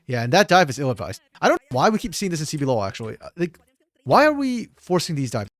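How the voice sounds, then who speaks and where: A man with a slightly hoarse voice, reviewing a match on his own in a casual, think-aloud way with frequent restarts. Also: a little high, big pitch swings, fast, no room sound. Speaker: esports caster doing a solo VOD review. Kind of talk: casual solo game analysis commentary.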